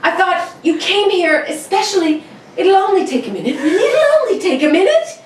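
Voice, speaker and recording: A woman's voice: extremely high pitch, big pitch swings, moderate pace. A woman playing an unnerved, sleepless, eerily intense man in a 1940s-style suspense radio drama, theatrical and brooding. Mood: desperate pleading. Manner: theatrical, brooding, nervous laughter. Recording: roomy, distant, faint background noise.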